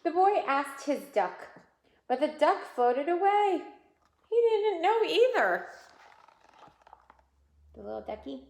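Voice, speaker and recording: A woman reading a picture book aloud to young children in an expressive way, pausing to point out the pictures. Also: high-pitched, creaky. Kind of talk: children's read-aloud storytelling. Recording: roomy, echoing indoor sound.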